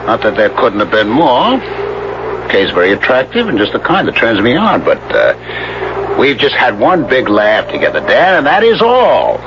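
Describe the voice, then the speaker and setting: An actor playing an easygoing, flirtatious, smoothly defiant man in a 1970s radio drama. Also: mid-range voice, huge pitch swings, normal speed. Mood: frank. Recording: dull, noisy old radio broadcast.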